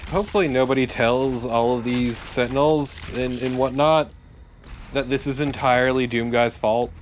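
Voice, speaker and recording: A man's voice: medium pitch, steady tone, normal speed, a little hiss or hum. A man co-commentating on a video game in casual banter. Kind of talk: casual banter over gameplay.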